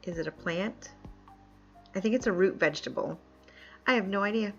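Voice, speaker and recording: A woman's voice: medium pitch, moderate pace, fairly bright tone. A woman from upstate New York chatting casually while live-streaming a game, laughing often and reading chat aloud. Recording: dull lo-fi mic with faint music.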